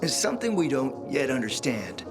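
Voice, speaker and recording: An actor playing a suave, scheming middle-aged spymaster in a video game, speaking in a theatrical, smooth, insinuating way. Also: male voice, medium pitch, big pitch swings, moderate pace, natural voice. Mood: conspiratorial. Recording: loud music behind the voice.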